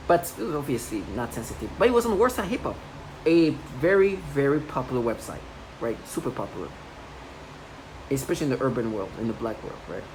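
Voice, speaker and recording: Low-voiced woman ranting over hiss. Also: expressive, a little fast, darkish tone. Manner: agitated, repetitive, many restarts. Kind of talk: rambling personal monologue. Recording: noisy home recording.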